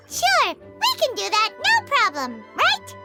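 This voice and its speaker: A chirpy little fairy sidekick, shrill high voice bouncing everywhere, loud game music behind. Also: female voice, slow, full character voice. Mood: chatty. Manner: chirpy, chatty, expressive. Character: small chatty floating fairy companion. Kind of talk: video game voice acting.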